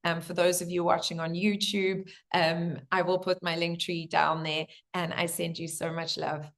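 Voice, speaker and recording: A woman whose voice has gone hoarse gives spiritual guidance straight to her viewers. She is earnest and reassuring, with a gentle, encouraging manner. She keeps talking to them as guys and fills her sentences with likes and ums. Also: lowish voice, level tone, moderate pace. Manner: addresses viewers as guys, many fillers. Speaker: spiritual coach.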